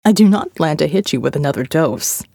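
Woman putting on a low gravelly male villain, smooth, elegant, calmly threatening, dry booth sound. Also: fairly quick, dry recording, heavily acted. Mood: smoothly menacing. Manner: calm, smooth and menacing, lecturing. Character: smooth, elegant male captor who runs an underground operation. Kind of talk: audiobook character voice.

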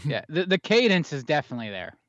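A dry, teasing co-host chats over a remote call. His voice is a little high and lively, and the audio is muffled and lo-fi but dry. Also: quick pace, no echo. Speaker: podcast co-host. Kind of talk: casual chat.

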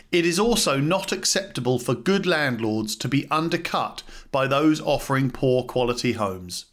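A man narrates from a script in a fairly high voice for a man. His delivery is formal and measured, like a podcast host reading a document aloud. Music plays along with the voice. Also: fairly bright tone.

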